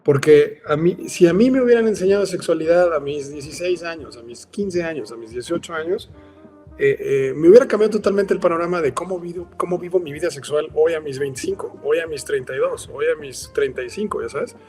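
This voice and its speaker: A man's voice: fairly high pitch, a little fast, over music. A man with a Mexican accent, a company founder speaking as a guest in a casual interview, rambling with lots of filler words. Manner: rambling, frequent "este" fillers.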